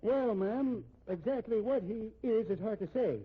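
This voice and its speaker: An actor playing a chatty, assured police officer in a 1950s radio drama. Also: male voice, high voice, normal speed, slightly rough. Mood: matter-of-fact recounting. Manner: folksy, talkative, assured. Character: chatty, unworried Irish-American male police officer. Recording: muffled, tinny old radio recording.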